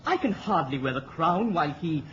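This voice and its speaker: An actor in a radio drama playing an eager, ambitious eighteen-year-old prince with an excitable, theatrical manner. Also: male voice, on the high side, moderate pace. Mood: ambitious, questioning. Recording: muffled radio recording with hiss.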